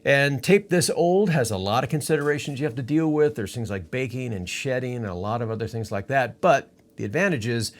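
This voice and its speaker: A man's voice: mid-range voice, animated, brisk, hardly any pauses. A man presenting an audio production tutorial, explaining things step by step. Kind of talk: tutorial narration.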